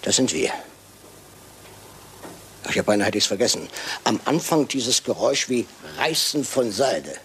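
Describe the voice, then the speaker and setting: An actor playing a shrewd, self-assured, pedantic detective in a German radio crime drama, speaking in a deliberate, theatrical way. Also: male voice, medium pitch, very animated, fairly bright tone, a little hiss or hum. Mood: dramatic, intent. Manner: theatrical, deliberate, addressing and coaxing others.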